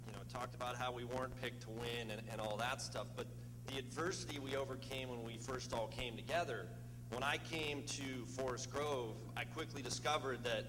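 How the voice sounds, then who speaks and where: A man's voice: mid-range voice, steady tone, normal speed. An American man in his thirties gives an informal, joking ceremony speech to an audience in a conversational way. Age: 30s. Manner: conversational, joking, self-deprecating. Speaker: former college athlete giving an acceptance speech. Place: large indoor ceremony hall. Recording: reverberant hall PA, clear noise, hum.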